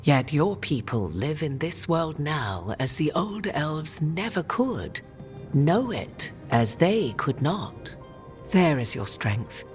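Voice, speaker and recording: A proud, cryptic sorceress who speaks in old-fashioned, measured phrases. Her voice rises and falls widely as she lets out her secrets one at a time. She sounds aloof and sure of herself. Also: deep voice, very animated, darkish tone, faint music behind, exaggerated voice. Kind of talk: video game cutscene acting.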